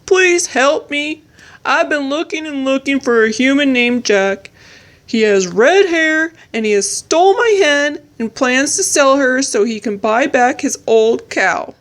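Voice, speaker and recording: A woman voicing a polite, bashful male giant in a storybook, speaking slowly and earnestly. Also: high voice, expressive, voice pushed up. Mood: pleading.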